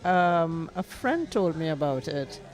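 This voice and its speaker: A woman from Guyana, excited, answering an interviewer's questions before a play. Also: low voice, slow, faint music behind. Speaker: theatregoer being interviewed.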